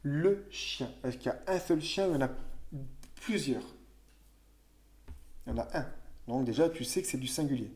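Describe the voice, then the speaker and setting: A man with a mellow, relaxed voice of ordinary pitch explains grammar to young pupils. He speaks casually, musing aloud, with small restarts and self-corrections. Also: moderate pace, pauses often. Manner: conversational, thinks aloud with fillers and self-corrections. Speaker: teacher. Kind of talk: explaining French grammar to children.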